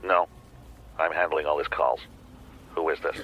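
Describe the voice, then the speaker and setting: An actor playing a guarded private detective in a radio drama, giving terse, cautious answers. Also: male voice, extremely low pitch, steady tone, moderate pace, muffled recording, a little hiss or hum. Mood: guarded, suspicious.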